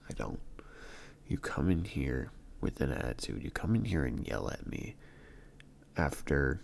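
Very deep, gravelly, moody boyfriend, acted by a man. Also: darkish tone, a little hiss or hum, full character voice. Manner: halting, drawn-out, repeats words, swinging between mutters and outbursts. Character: hurt, irritated young boyfriend arguing with his partner. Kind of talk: boyfriend roleplay ASMR, acted monologue.

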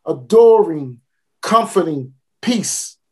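A boastful, mocking street fruit seller tells the tale in plain folksy speech, played by a woman. The delivery is theatrical: lines pile up in repetition and then break out into sudden shouts. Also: fairly low pitch, expressive, takes it slowly, breathy edge, heavily acted. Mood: awed. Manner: folksy, dramatic, with repeated builds and sudden shouts. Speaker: dramatic monologue performer. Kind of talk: theatrical spoken-word monologue.

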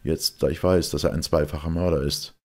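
A man's voice: extremely low pitch, steady tone, brisk, darkish tone, pitched above the performer's own voice. A man voicing a shaken, earnest man in an audiobook, speaking with emotion. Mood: shaken, relieved. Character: shaken, earnest man relieved of his hatred.

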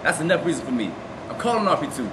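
A man's voice: on the high side, sing-song, racing. A man in his twenties playing a stern, by-the-book navy watch stander, firmly explaining in amateur film acting. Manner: firm, explanatory. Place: indoor lobby front desk. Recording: very noisy room camera audio.

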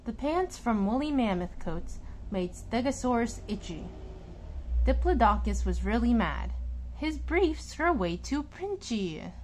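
A woman reads a picture book aloud in a fairly high voice. Her delivery is slow and deliberate, with big swings in pitch. She stresses words expressively, as a storyteller does for young children. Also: very animated, a little mellow, a little hiss or hum, rough recording.

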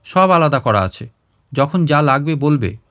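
A man's voice: fairly high pitch, lively intonation, unhurried, fairly bright tone. A man voicing a caring grown daughter who firmly manages her father's affairs in a storybook reading. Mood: reassuring, firm.

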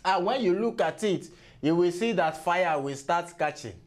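A man's voice: high voice, expressive, normal speed. A Nigerian-accented man playing a mock chemistry professor who lectures formally and scorns gullible fools.

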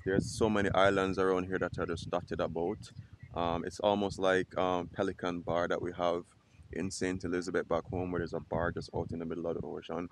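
Jamaican man vlogging outdoors, low-voiced. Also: a little hiss or hum. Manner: relaxed, chatty, thinking aloud with fillers. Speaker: travel vlogger. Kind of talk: casual travel vlog to camera. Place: outdoors on a lake island and boat. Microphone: action camera at arm's length.

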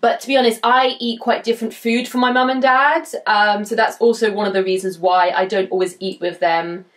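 A young British woman hosting a casual English listening lesson at home, speaking clearly and deliberately. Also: mid-range voice. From Britain. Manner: clear, deliberate, animated with gestures. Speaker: host, English teacher. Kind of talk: casual teaching chat. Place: home room indoors.

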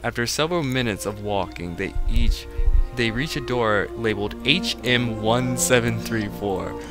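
A man's voice: medium pitch, normal speed, over music. A man reading fan fiction aloud on a voice call, breaking off into casual, sardonic banter. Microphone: online voice call.

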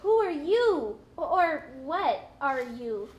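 Woman as a very high-voiced, wide-eyed young whale. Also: big pitch swings, takes it slowly, a little mellow, muffled recording, exaggerated voice. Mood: curious. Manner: wide-eyed, hesitant exclamations. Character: naive, curious young female sperm whale. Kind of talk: live puppet show. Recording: live theatre recording, faint noise.

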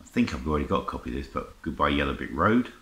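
Very deep-voiced middle-aged man chatting. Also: big pitch swings, fast talker, bright, forceful tone. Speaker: vlogger showing a music haul. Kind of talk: casual chat to camera, unscripted. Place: indoor room lined with record shelves. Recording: roomy echo, faint noise, clicking handling sounds.

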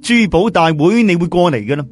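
A man's voice: high voice, lively intonation, fairly quick, pitched above the performer's own voice. A man with a Cantonese accent voicing a confrontational male rival in an audio story, pointed and challenging. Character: confrontational male rival gambler, near middle age.

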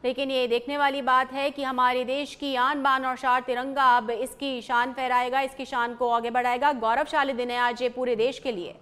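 A female studio anchor with a high, smooth, clear voice. She hands over to a field reporter and asks formal, brisk questions. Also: level tone, a bit bright. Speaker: news anchor.

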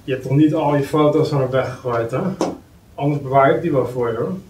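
A man's voice: mid-range voice, a little fast, darkish tone, far from the mic, lightly acted. Male actor as teasing middle-aged colleague, colloquial and mocking, roomy recording with faint noise. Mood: chummy banter. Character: jovial, teasing male office colleague of fifty. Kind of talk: script table read. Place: meeting room, indoors.

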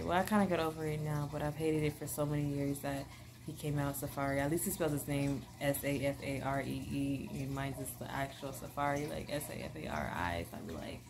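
A woman's voice: sits low, background noise. A young woman vlogger chatting casually to camera from her living room, bubbly and rambling with lots of fillers. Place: small living room at home.

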